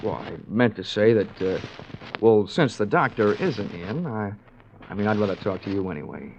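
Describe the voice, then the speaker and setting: Wisecracking American radio detective. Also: male voice, on the low side, animated, normal speed, gravelly, a little mellow, a little hiss or hum. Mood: flirtatious. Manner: wry, glib, wisecracking. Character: wisecracking, flirtatious male insurance investigator, cool and cocky. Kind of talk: 1940s-50s radio detective drama acting. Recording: dull old radio recording, music behind.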